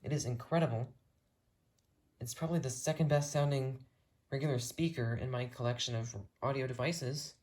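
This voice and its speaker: An American hobbyist with a mid-pitched voice, giving a casual, enthusiastic and detailed rambling tour of a collection as the video's host. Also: fairly even intonation, moderate pace, darkish tone.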